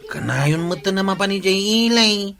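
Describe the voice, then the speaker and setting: A man's voice: high voice, animated, moderate pace, vocal fry, bright, forceful tone, exaggerated voice. A man with an Ilocano accent playing a nagging, questioning villager in a comedy radio drama. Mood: complaining. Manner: questioning, insistent.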